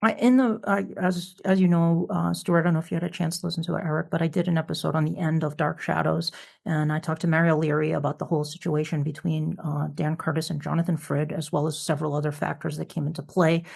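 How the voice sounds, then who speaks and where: A podcast host chatting casually, halting and backtracking while putting thoughts together. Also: medium pitch, vocal fry, darkish tone. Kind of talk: casual chat.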